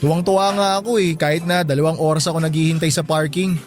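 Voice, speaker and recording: An actor playing a teasing, devoted young best friend in a radio drama, with a playful, bantering delivery. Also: male voice, on the high side, fairly even intonation, fast talker, soft background music, close to an everyday voice. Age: young adult. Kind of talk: Tagalog radio drama acting.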